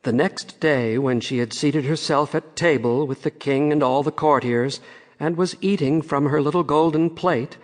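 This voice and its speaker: A man narrating a fairy-tale audiobook in a measured storytelling way, with long reverb on the recording. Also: fairly high pitch.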